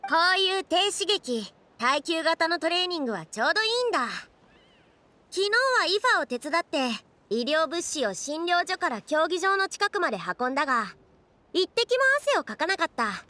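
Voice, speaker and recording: An actress playing a confident, tough and proud female athletic coach in an anime-style video game, energetic and brisk. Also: very high voice, heavily acted.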